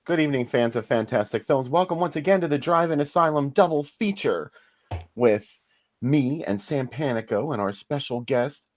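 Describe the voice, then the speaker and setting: A man hosting a casual movie podcast, with a playful, lively patter. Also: mid-range voice, normal speed. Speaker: podcast host.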